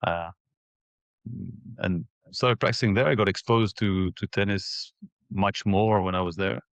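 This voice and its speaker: A man in his forties from Ethiopia and Mauritius reminiscing in a casual interview, haltingly, repeating words as he thinks. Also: deep voice, steady tone, vocal fry, no echo, lo-fi sound. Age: 40s. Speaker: interview guest, former professional tennis player.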